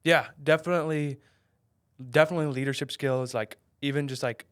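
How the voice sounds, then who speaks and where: A man's voice: fairly high pitch, steady tone, fairly quick, clean tone, dry recording, clean studio sound. A man in his twenties answering interview questions in a casual, hedging way, with lots of fillers. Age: 20s. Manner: soft-spoken, hedging with many fillers. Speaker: real estate investor guest.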